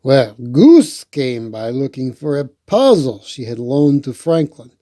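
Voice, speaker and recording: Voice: medium pitch, big pitch swings, slow, mellow. A storytime host reading a picture book aloud and adding playful, rambling, chatty asides.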